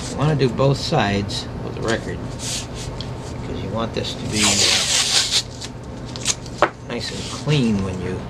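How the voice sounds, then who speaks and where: A man giving an informal hands-on craft demonstration, explaining step by step in a halting, unscripted way. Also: fairly low pitch, vocal fry. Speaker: craft instructor demonstrating scroll saw work. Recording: loud ambient hum and workshop noise.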